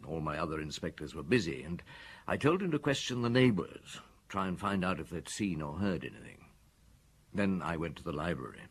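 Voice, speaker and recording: An actor playing a weary, middle-aged male chief inspector in a radio drama, speaking in a measured, understated, reflective way with a husky voice. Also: low-pitched, lively intonation, a little hiss or hum. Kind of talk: radio drama narration and dialogue.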